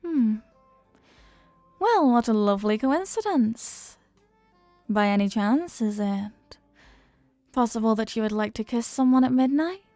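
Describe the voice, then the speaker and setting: A woman's voice: fairly high pitch, animated, unhurried, pauses often, mellow, dry recording, soft background music. A woman playing a flirty, playful stranger at a New Year's party in an intimate audio roleplay, with a coy, teasing, murmuring delivery. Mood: flirty, hopeful.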